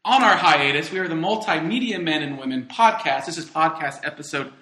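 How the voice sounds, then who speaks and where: A man hosting a podcast, steering a casual chat among friends with quick questions. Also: fairly high pitch, bright, forceful tone. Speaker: podcast host. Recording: roomy echoey sound.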